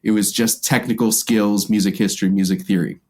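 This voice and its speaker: A man's voice: lowish voice, fairly even intonation, a little fast, a little mellow. An American man speaking as a podcast guest, casually recounting his background in an interview. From United States. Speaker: podcast guest, violinist and music teacher.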